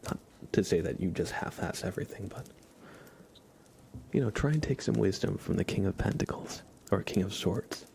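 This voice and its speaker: A man hosting an ASMR livestream, talking softly and intimately to his chat and often slipping into whispers, close to the microphone. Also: fairly low pitch, animated, creaky, background noise. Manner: gentle, drawn-out delivery slipping into whispers.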